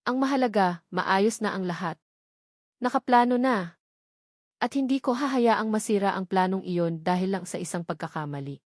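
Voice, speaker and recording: A woman's voice: medium pitch, moderate pace, no room sound, studio quality, lightly acted. A woman voicing a cold, cruel young man in a story, with a menacing, emphatic, dismissive manner. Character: cold, cruel young man rejecting his pregnant lover.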